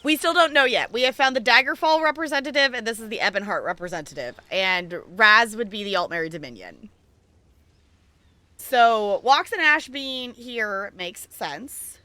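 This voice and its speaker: A woman chatting casually and playfully while livestreaming a game, thinking out loud as she goes. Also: on the high side, expressive, moderate pace, no room sound. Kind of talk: casual stream chat while playing, reading game dialogue aloud.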